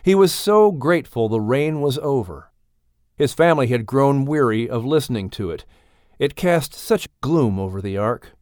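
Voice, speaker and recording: A man's voice: medium pitch, animated, normal speed, a little mellow, no room sound, clean studio sound. A man doing scripted banter and audiobook narration for a children's story podcast. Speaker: narrator and sidekick.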